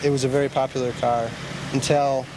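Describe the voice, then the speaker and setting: A man's voice: medium pitch, little pitch movement, fairly quick. A young man in his late teens from Wisconsin giving casual interview answers outdoors. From Wisconsin, United States. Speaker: young car owner being interviewed. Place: outdoors at a car meet. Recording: noisy outdoor on-location recording.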